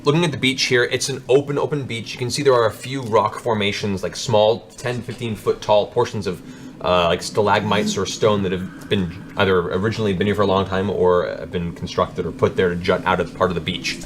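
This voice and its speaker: A man's voice: on the low side, fairly even intonation, brisk. A man narrating a tabletop fantasy game as game master, in a descriptive, dramatic storytelling manner. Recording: music playing under the voice. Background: background music.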